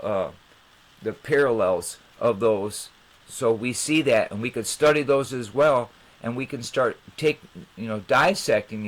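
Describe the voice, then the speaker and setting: Male host, mid-pitched, slow delivery, informal and rambling explainer. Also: low-quality recording. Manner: rambling, halting phrasing. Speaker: channel host.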